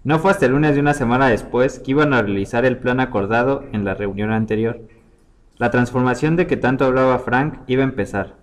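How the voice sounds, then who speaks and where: A man's voice: medium pitch, a little fast. A man reading a scripted short story aloud as its storyteller.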